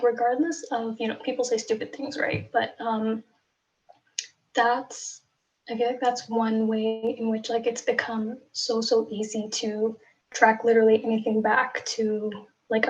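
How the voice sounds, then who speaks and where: A woman's voice: on the high side, muffled recording. A young woman from Somalia, a guest on a casual podcast, talking hesitantly with many likes and ums. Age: young adult.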